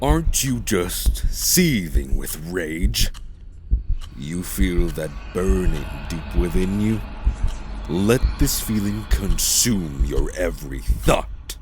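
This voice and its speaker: A man's voice: sits low, animated, background noise. A man playing a sinister, venomous voice of guilt that taunts and goads in a fantasy audio drama. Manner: slow, taunting, drawn-out delivery. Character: sinister male voice of guilt, tempting, venomous, goading.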